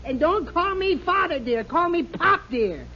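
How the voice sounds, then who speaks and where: A man playing a bumbling, excitable middle-aged family man in a 1950s radio comedy, flustered and stammering with chuckles. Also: extremely high pitch, measured pace, muffled recording. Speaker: radio sitcom husband. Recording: hissy old radio broadcast with studio audience.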